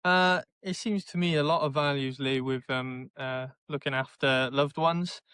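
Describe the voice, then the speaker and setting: A young man with a South Wales valleys accent, answering interview questions in a plainspoken, halting way. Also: medium pitch, steady tone, bright, forceful tone, smooth, no echo. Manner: plainspoken, halting, searches for words. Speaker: interviewee, election candidate.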